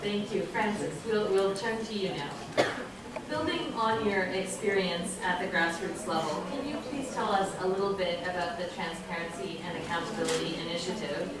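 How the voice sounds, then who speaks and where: Woman moderator, low and formal, distant in an echoey hall. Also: a loud crowd. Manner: measured, formal questioning. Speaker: panel moderator. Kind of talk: moderating a panel discussion. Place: conference room.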